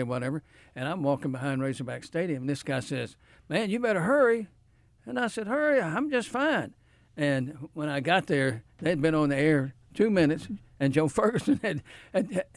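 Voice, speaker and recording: A man's voice: fairly high pitch, no room sound. A man hosting a casual live radio show in a folksy, storytelling way. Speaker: outdoor radio show host.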